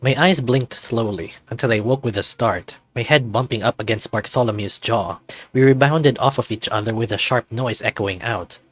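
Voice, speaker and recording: A man's voice: mid-range voice, moderate pace, no echo. A man reading scripted first-person story narration for a visual novel.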